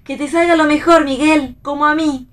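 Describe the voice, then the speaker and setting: A high, womanly voice for a stubborn pregnant young wife in a radio play acted by a woman. She is firm and insistent, downplaying her own discomfort. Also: steady tone, normal speed, natural voice. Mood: tender. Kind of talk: read radio theatre.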